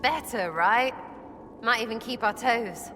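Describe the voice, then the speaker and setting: A woman's voice: on the high side, measured pace, natural voice. A woman playing a cheeky, irreverent young rogue archer in a fantasy video game, flippant and slangy in clipped phrases. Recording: clear music behind.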